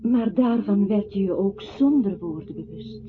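An actress plays a solemn woman narrator in a poetic radio drama, measured and literary, in a middle register. The sound is narrow and phone-like, with faint noise. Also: mid-range voice, lightly acted.

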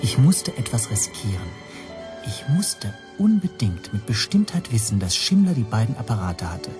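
A man's voice: fairly low pitch, sing-song, normal speed, music in the background. An actor playing a stateless Hungarian teacher who recounts his ordeal reflectively in a scripted radio drama. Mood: tense, reflective. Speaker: first-person narrator.

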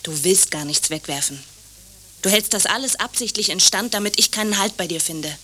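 Sharp, bright-voiced young woman, aggrieved. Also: mid-range voice, fairly quick. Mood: reproachful. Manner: pointed, reproachful. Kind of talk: radio play dialogue acting.

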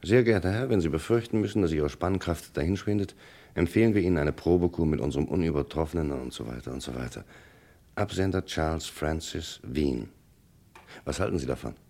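A man's voice: a bass voice, brisk, mellow. A man playing a sober, persistent police inspector in a vintage radio crime drama, probing and matter-of-fact. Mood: dry, businesslike.

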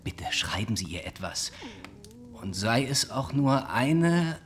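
An actor in a German radio play playing an ardent, charming young gentleman suitor, courteous and insistent. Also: mid-range voice, big pitch swings, takes it slowly. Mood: coaxing.

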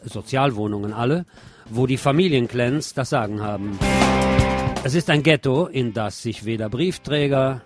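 Man reading news commentary over guitar music. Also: mid-range voice. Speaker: news presenter.

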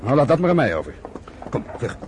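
An actor in a radio play voicing a lively, rebellious young brother, urgent and persuasive. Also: male voice, mid-range voice, fast, close to an everyday voice. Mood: eager, coaxing. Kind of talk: radio drama acting. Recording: slightly noisy old radio recording.

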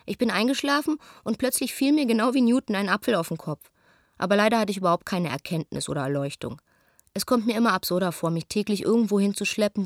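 A woman's voice: mid-range voice, dry recording, clean studio sound, lightly acted. An actress playing a proud, lonely young stage actress reading her reflective, confiding letter in a radio play. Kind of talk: radio play, letter read as intimate monologue.

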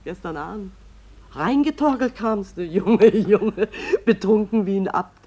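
A woman's voice: mid-range voice, animated, measured pace, warm tone. An actress in a radio play voicing a merry, affectionate wife who fondly teases her drunkard husband while telling a tale. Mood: amused, fond. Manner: chuckling, affectionate storytelling.

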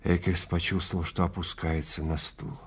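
A man narrating a science fiction audio drama theatrically, in deliberate short phrases with weighty pauses. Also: low-pitched, little pitch movement, husky. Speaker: narrator and actor.

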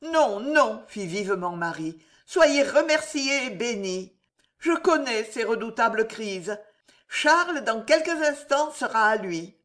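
A reader voicing a devoted young royal mistress in an audiobook, soft and imploring, her voice raised high. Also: animated, measured pace. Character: king's devoted young mistress, anxious and pleading.

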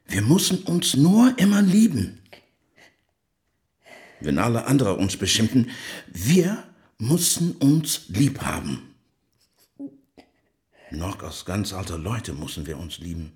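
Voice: medium pitch, very animated, slow pace, long pauses, a little mellow. An actor in a radio play voicing a tender lover, earnest and pleading. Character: tender, pleading lover holding onto love.

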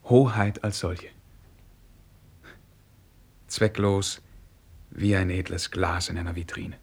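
An actor in a German radio play playing a courteous, reserved young prince who speaks formally and hesitantly in a husky voice. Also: male voice, low voice, steady tone, slow pace, full character voice. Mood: reflective.

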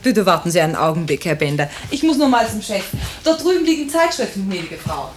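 An actress playing a polite, businesslike nurse in a 1950s radio drama, speaking briskly. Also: female voice, mid-range voice, big pitch swings, music in the background, close to an everyday voice. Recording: noisy, clicking old radio recording.

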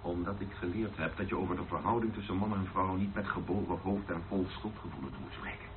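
A man acting an earnest, defiant priest in a Dutch radio play, speaking deliberately. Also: deep voice, lightly acted. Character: defiant, earnest priest, passionate about earthly love. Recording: noisy, narrow phone-like old radio recording.

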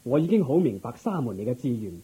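A man playing a dignified, courteous and curious king in a radio drama, with stately, expressive theatrical delivery. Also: mid-range voice, quick pace, slightly rough, poor audio. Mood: cordial. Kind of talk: Cantonese Buddhist radio drama acting.

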